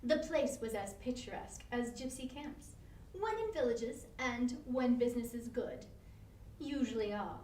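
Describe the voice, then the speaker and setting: A woman reading a story aloud dramatically, expressive, now and then stumbling over words. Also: on the high side, measured pace. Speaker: volunteer story reader. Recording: roomy, distant, noisy online livestream.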